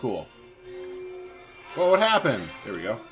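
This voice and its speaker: A man's voice: medium pitch, huge pitch swings, measured pace, fairly bright tone, soft background music. A man doing casual let's-play commentary, chatty and informal, thinking aloud as he plays. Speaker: gaming video host. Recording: faint background noise.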